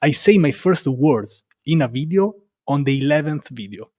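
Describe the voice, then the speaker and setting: A man's voice: on the high side, lively intonation, normal speed, mellow, dry recording. Italian-accented man talking casually, very dry sound. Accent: Roman Italian. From Italy. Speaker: interview guest, developer advocate and content creator. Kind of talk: casual interview talk.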